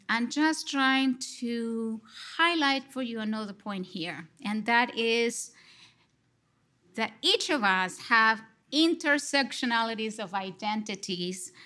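A middle-aged woman from Colombia with a Colombian Spanish accent, giving an expressive conference response from a lectern on a large stage, speaking into a headset mic. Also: fairly high pitch. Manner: expressive, gesturing, slow and deliberate. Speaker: lecture respondent, psychology professor.

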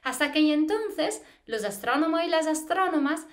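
Lively Italian-accented woman explaining science. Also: high voice, moderate pace. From Italy. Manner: expressive, lively, gestures while explaining. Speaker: postdoctoral astrophysics researcher. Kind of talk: explaining science to school students. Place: small plain indoor room against a wall. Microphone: camera a few feet away, head to waist framing.

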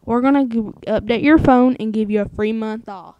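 A woman's voice: fairly high pitch, moderate pace, smooth, no room sound, highs cut off. A woman talking casually in a hesitant, placating way, as a caller posing as customer service. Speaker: prank caller.

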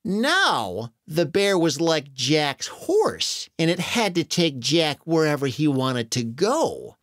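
A man narrating a children's story in a dramatic, animated way with exaggerated emphasis. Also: fairly high pitch, takes it slowly, no echo, clean studio sound.

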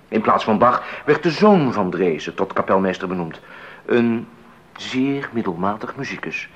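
An actor playing a deferential, well-informed court musician in a 1980s radio play, speaking formally and with measured care. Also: male voice, lowish voice, slightly rough. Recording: dull 1980s radio recording.

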